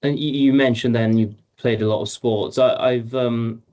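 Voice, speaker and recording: A man's voice: medium pitch, steady tone, moderate pace, dark tone. A man co-hosting a podcast, reading a guest introduction and asking prepared questions, with some restarts. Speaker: podcast co-host.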